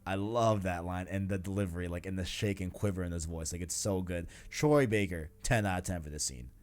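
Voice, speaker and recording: A man's voice: low-pitched, a little fast. A man hosting a casual video game discussion, talking in a rambling, enthusiastic, slangy way. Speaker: podcast host.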